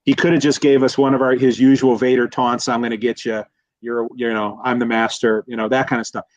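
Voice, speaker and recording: A man in a casual analytical discussion, speaking haltingly and repeating words as he thinks aloud. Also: mid-range voice, level tone, no echo, highs cut off. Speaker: guest expert.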